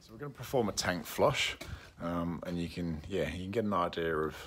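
A man in his forties with a low-pitched voice talks casually to his phone as a working plumber. He explains things step by step in an informal, plain-spoken way. The outdoor recording carries faint background noise. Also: expressive, a little fast, a bit bright. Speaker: plumber presenting a how-to video. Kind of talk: casual explanatory vlog to camera. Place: outdoors in a residential yard by a brick wall. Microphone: phone at arm's length.

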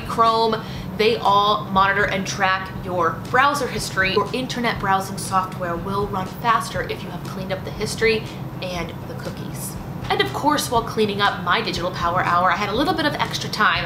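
A young woman in her twenties from the United States giving casual, lively advice to camera in a small indoor room, with punchy little asides. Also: mid-range voice, brisk, background noise. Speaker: lifestyle vlogger. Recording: roomy with background music.

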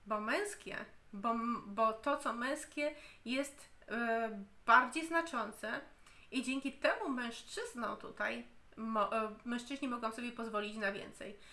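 Woman in her 30s, book reviewer, slow deliberate delivery, bright tone, home camera recording. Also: on the high side. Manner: slow and deliberate, with frequent hesitations and restarts. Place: home room, indoors.

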